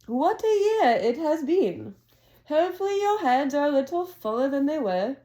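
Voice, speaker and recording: A woman reading aloud as an old, wise male headmaster, speaking in a calm, measured, formal way as he announces to a hall. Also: very high voice, voice pushed up, exaggerated voice. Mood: formal, kindly.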